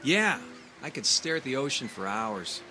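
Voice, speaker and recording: A man's voice: medium pitch, sing-song, normal speed, over music, close to an everyday voice. An actor playing a wry, sceptical male newcomer who probes casually for secrets in an audio drama.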